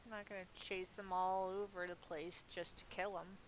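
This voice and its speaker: A woman's voice: fairly low pitch, little pitch movement, moderate pace, low-quality recording. Chatty woman gamer with background hiss. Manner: chatty, self-amused, thinking aloud. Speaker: gaming video host. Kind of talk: casual rambling gameplay commentary. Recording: clearly audible background hiss.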